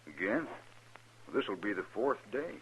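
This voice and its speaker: An actor with an American accent playing a hearty, hospitable storekeeper in a 1950s radio western. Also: male voice, lowish voice, very animated, measured pace, fairly bright tone, a little hiss or hum. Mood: wary, grumbling. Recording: dull vintage radio recording.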